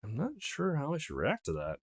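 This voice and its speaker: A man voicing a mild, low-key teenage friend in a visual novel, curious, hesitant and easily flustered. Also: wildly swooping pitch, brisk, slightly rough, exaggerated voice. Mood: awkward. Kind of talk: reading visual novel dialogue aloud.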